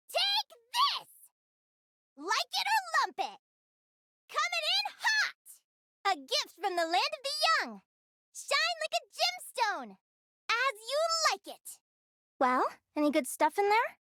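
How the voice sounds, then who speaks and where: A woman voicing a curious young female explorer in a video game, speaking in an earnest, expressive, youthful way. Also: very high voice, heavy background noise, heavily acted. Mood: excited, battle-ready. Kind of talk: English video game character voicelines.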